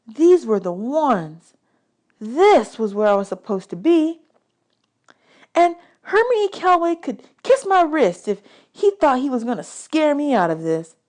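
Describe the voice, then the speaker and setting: A woman with a somewhat high-pitched voice reads a children's novel aloud. She delivers the first-person narration expressively, in the way of a teacher reading to pupils. Key words are drawn out for emphasis. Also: darkish tone.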